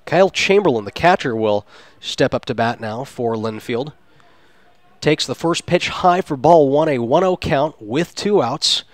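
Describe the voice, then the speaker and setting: A male play-by-play announcer delivers live baseball commentary for radio. His voice sits at an ordinary male pitch in a dry sound with very little room. He describes the game in ornate, detailed phrases, in the manner of a sports broadcaster. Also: animated, unhurried, no echo. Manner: descriptive, flowery turns of phrase.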